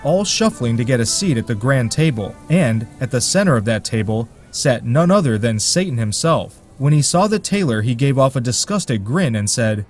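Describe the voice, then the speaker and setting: A man's voice: medium pitch, expressive, normal speed, hardly any pauses, soft background music. A man narrating a folk tale in a dry, wry way, with asides to his listeners. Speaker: storyteller.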